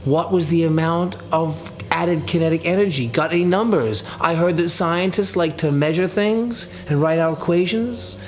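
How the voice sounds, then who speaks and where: A man's voice: a little high, moderate pace, hardly any pauses, a little hiss or hum, voice pushed up, lightly acted. A man voicing a moody eighteen-year-old son who is sarcastic, righteous and insistent, in a dramatic audiobook. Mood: taunting, angry. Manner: mocking, insistent.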